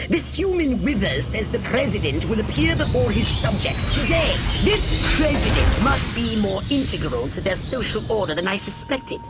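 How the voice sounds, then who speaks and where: A shrill fussy alien commander. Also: male voice. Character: scheming alien scientist commander, male, fussy. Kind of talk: video game cutscene acting. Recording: game audio with music behind.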